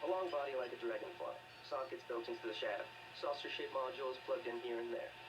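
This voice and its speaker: A man's voice: medium pitch, fast, lightly acted. An actor playing a thoughtful, plain-spoken male scientist ally in a video game. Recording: noisy distant game capture.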